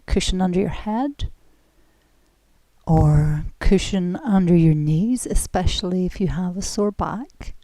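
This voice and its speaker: A woman guides a relaxation session at a very slow, unhurried pace. Her voice is mellow and soft, turning breathy as phrases trail off. She is gentle and soothing, recorded close and dry. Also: fairly low pitch, no echo. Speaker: guided relaxation and yoga instructor. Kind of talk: guided relaxation, meditative instruction.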